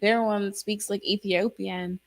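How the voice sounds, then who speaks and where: Gravelly-voiced woman chatting loosely. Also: medium pitch, steady tone, unhurried. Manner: rambling, lots of fillers and restarts. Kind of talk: casual chat.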